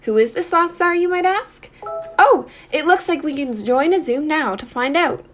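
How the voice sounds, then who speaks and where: Young female student narrator, high-pitched, scripted explainer delivery. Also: expressive, moderate pace, slight background noise.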